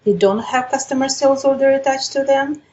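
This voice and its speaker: A woman presenting a software webinar, explaining a step-by-step demonstration in a methodical way. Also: high voice, fairly quick, dull audio, slight background noise.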